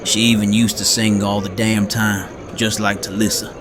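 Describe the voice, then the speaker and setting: A man acts out a gruff, protective survivor with a very low voice. He talks bluntly in short, plain phrases, in audio drama style, with crowd chatter clearly audible behind him. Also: little pitch movement, moderate pace, natural voice. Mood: wistful. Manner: terse, blunt, plain-spoken. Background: party crowd chatter.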